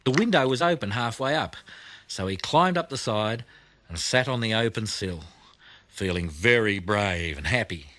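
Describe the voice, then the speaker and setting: A man reading a children's audiobook as narrator, telling the story expressively with deliberate emphasis. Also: lowish voice, animated, bright, forceful tone, a little hiss or hum.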